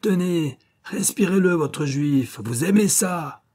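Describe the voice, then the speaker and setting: A man voicing a brutal, sneering male SS officer in an audiobook, shouting with contempt. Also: on the low side, fairly even intonation, a little slow, breathy edge. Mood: raging.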